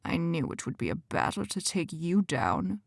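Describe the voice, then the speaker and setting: A woman voicing a smug, cold male demon leader, speaking slowly and quietly with a menacing edge. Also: sing-song, vocal fry, husky, dry recording, clean studio sound, heavily acted. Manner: slow, low, quiet taunting.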